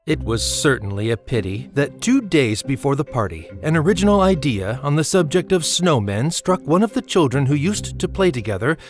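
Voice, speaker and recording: Expressive male storyteller over music. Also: fairly high pitch, moderate pace, hardly any pauses. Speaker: narrator. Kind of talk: literary scripted storytelling narration.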